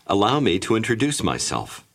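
Lively low male lesson narrator. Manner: clear, measured instructional delivery. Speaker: course narrator. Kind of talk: scripted language-lesson narration.

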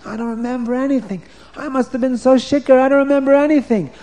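A man's voice: fast, mellow. A man doing a wailing, hungover king. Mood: bewildered. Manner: whiny, calling out in high wails. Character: hungover, bewildered king calling for his wife.

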